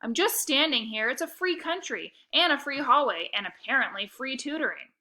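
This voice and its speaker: A woman reading a children's book aloud, voicing a cocky, defensive young boy in an expressive way. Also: high-pitched, normal speed, pitched above the performer's own voice. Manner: expressive, exclamatory in dialogue. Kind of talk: first-person children's book read-aloud.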